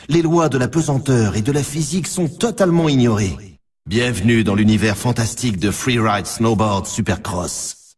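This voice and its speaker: A man's voice: medium pitch, slightly rough, distant mic. A man playing a grandiose, emphatic announcer for an extreme snowboarding championship in a video game. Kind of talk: scripted dramatic sports game announcing.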